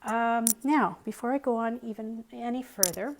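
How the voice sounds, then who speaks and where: A woman's voice: fairly high pitch, moderate pace, a little hiss or hum. A middle-aged woman hosting a casual live crafting tutorial, chatty and friendly, with frequent ums, her voice a little strained. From Canada. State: slightly losing her voice.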